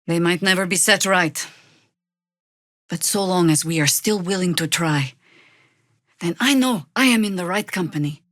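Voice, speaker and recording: An actress playing a weathered older female druid in a video game, wry and commanding, with a dry, deliberate delivery. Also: fairly low pitch, fairly bright tone, dry recording. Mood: grave, reflective. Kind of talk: dramatic game dialogue.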